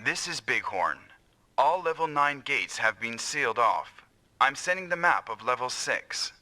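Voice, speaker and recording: An actor voicing a dutiful, earnest military operator in an anime-style video game, with crisp briefing delivery. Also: male voice, medium pitch, lively intonation, a little slow, bright, forceful tone. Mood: businesslike. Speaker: operations and communications officer.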